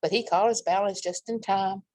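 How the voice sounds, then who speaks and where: A woman's voice: mid-range voice, steady tone, moderate pace, darkish tone, no room sound. A woman reading aloud to young children as a storytime host, with chatty asides and questions for her listeners.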